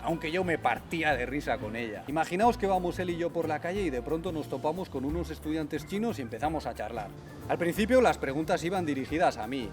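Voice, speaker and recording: A man with a Spain Spanish accent hosting a conversational explainer video in an articulate, explanatory way. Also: a little high. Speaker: video host.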